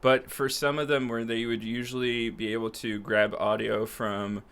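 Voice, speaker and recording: A man's voice: medium pitch, little pitch movement, normal speed, fairly bright tone, clean tone. A man co-hosting a casual podcast, speaking bluntly and sardonically into a close desk microphone. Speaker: podcast co-host. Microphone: close USB desk microphone on an arm.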